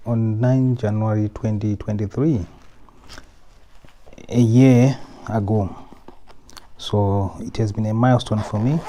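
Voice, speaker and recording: A man from Zimbabwe with a Zimbabwean accent, speaking slowly and reflectively in a personal vlog, often repeating himself. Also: lowish voice, dark tone. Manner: slow, measured, repeats himself. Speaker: YouTube vlogger.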